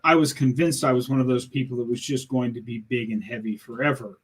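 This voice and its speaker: Husky sixty-year-old man. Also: mid-range voice, fairly even intonation, fast. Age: 60s. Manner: earnest, plain-spoken storytelling. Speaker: guest. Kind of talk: casual interview chat.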